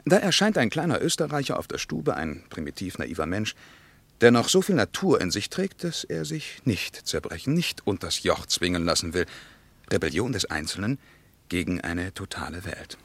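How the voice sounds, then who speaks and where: Intense storyteller in a radio play, really up and down delivery, emphatic, no room sound. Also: male voice, sits low, sing-song, normal speed, creaky, fairly bright tone, husky, no echo, full character voice. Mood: grave, intense. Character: impassioned male storyteller recounting army injustice, earnest and intense. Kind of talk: dramatic radio play monologue.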